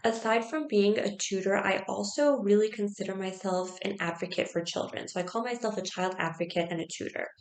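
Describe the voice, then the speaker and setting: A woman's voice: medium pitch, creaky. Chatty young woman tutor, echoey room. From United States. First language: Farsi. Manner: earnest, chatty, many "um" fillers. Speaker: language tutor. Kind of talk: personal introduction to camera. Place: small classroom.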